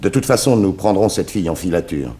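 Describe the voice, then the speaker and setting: A confident, methodical private detective in a 1960s radio drama. His voice is low, and he lays out his reasoning with firm assurance. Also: lively intonation, a little fast, dark tone. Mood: decisive. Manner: assured, explanatory, crisp deductions. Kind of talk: 1960s French radio serial acting.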